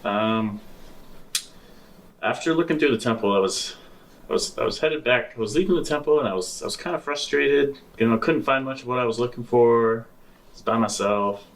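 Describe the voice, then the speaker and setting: A man playing a sheepish space adventurer in improvised tabletop roleplay, speaking haltingly and repeating himself. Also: mid-range voice, far from the mic, natural voice. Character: sheepish male space adventurer reporting back.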